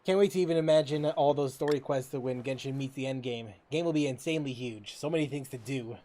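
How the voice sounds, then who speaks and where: A man giving casual live commentary as a gaming streamer, musing informally in a halting way. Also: mid-range voice, mellow.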